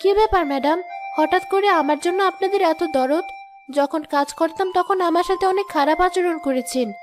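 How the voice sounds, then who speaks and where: A woman's voice: very high-pitched, moderate pace. A woman voicing a proud, plain-spoken poor housemaid and young mother in a cartoon moral story, sounding plaintive and reproachful. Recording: music behind the voice.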